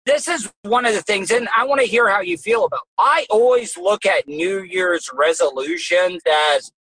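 A man's voice: sits high, normal speed, sharp tone, low-quality recording. A man in his forties hosting a podcast, chatting casually and bluntly, swearing freely and acting out quotes. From United States. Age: 40s. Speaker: podcast host. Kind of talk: casual chat.

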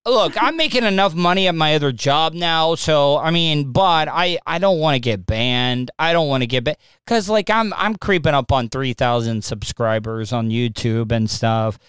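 A man hosting a live stream, chatting casually and stumbling over his words. His voice comes through very clean and smooth. The sound is close and dry, with no room in it. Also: fairly high pitch, moderate pace, few pauses, fairly bright tone, no room sound. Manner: stammering, repeats words, laughs easily. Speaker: livestream host. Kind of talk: casual chat.

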